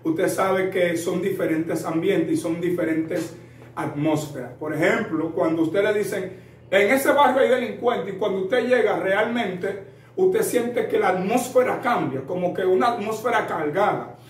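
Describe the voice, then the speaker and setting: A man's voice: sits high, measured pace. A man in his thirties from the Dominican Republic with a Dominican Spanish accent, a preacher telling his story earnestly as an interview guest, addressing the listener formally and using many fillers. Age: 30s. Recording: roomy echo, distant mic, faint noise.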